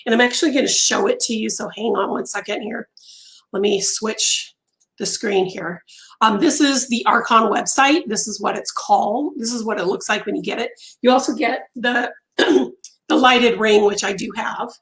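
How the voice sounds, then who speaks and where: A woman's voice: on the high side, a bit bright, poor audio. Woman losing her voice on a live stream. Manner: chatty, many ums, often pauses mid-sentence. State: losing her voice, clearing her throat and coughing. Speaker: crochet designer hosting a live stream. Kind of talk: casual live-stream chat. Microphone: phone on a mount.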